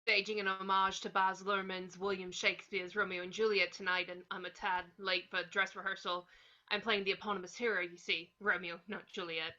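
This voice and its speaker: A man's voice: sits high, level tone, a little fast, bright, forceful tone. A man playing a pompous, indignant solicitor caught speeding, in a dull lo-fi recording. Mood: smug.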